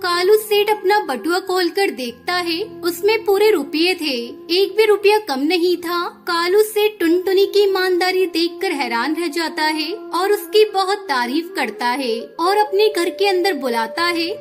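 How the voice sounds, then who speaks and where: A woman's voice: very high-pitched, measured pace, few pauses. A woman narrating a scripted children's cartoon story. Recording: music behind the voice.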